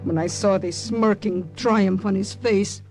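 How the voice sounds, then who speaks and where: A tense woman spy played by an actress tells her story in vintage radio thriller style. She speaks with grave, deliberate drama. Music runs behind her on the old broadcast recording. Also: mid-range voice, steady tone, a little mellow, natural voice. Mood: grave, suspenseful. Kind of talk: 1940s-50s radio drama acting and first-person narration.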